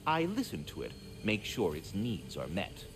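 A man's voice: sits low, huge pitch swings, normal speed, soft background music, full character voice. A man voicing a magical talking squirrel for a video game, prim, verbose and fussily polite with sardonic, theatrical delivery. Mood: chatty, proud. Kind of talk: video game character acting.

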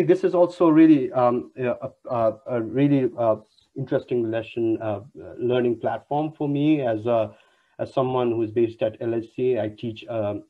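Hesitant man on a lo-fi video call. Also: on the low side. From Nepal. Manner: hesitant, many fillers and restarts. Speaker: panel chair and university lecturer. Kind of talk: formal online panel introduction. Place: home room on a video call. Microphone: wired earphone mic on a video call. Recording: dull lo-fi video call audio.

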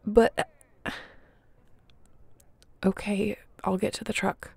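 A woman's voice: mid-range voice, flat delivery, slow, gravelly, darkish tone, husky, no echo, heavily acted. A woman playing a resilient young apocalypse survivor in an audio roleplay, speaking intimately and earnestly with a wry edge. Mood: determined, planning. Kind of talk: dramatic audio roleplay addressed to the listener.

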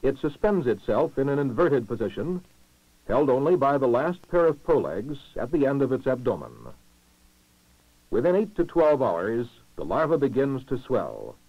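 A male narrator from a 1960s educational film, speaking in a formal, measured way with expressive rises and falls. His mid-pitched voice comes through a dull, muffled old film soundtrack with very little room sound. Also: dry recording. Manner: formal, measured, clearly enunciated delivery. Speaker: documentary narrator.

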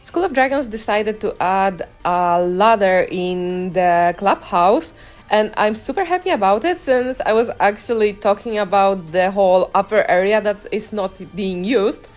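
A woman's voice: mid-range voice, normal speed. A woman giving casual, chatty voice-over commentary on gameplay, speaking conversationally as a video creator. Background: music behind the voice.

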